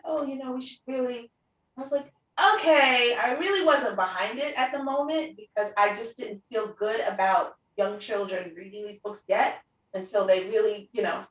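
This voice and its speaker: The sound is roomy and a little distant from the microphone. A middle-aged woman with a medium-pitched voice hosts a casual conversation. She talks in an anecdotal way, slipping into quoted remarks as she goes. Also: moderate pace, fairly bright tone. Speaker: interview host and preschool teacher. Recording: roomy echo, distant from the mic.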